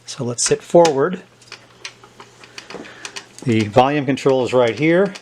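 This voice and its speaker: A man with a medium-pitched voice talks through a piece of equipment in a casual, unscripted way. He thinks aloud and pauses as he goes. Faint background noise and small clicks sit behind him. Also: animated, a little mellow. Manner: halting, thinking aloud while handling equipment. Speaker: host demonstrating a vintage tape recorder. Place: indoors at a tabletop.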